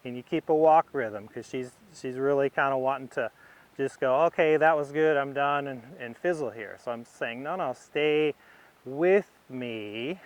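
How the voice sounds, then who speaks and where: A man giving instructional commentary as a riding instructor, thinking aloud in short, unhurried phrases as he demonstrates. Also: mid-range voice, lo-fi sound.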